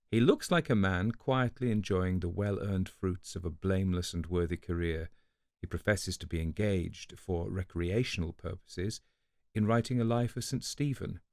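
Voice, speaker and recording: A man giving a scripted literary narration in a measured, conversational storytelling manner, as if reading a letter aloud. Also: low voice, a little mellow, dry recording, studio quality. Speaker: audiobook narrator.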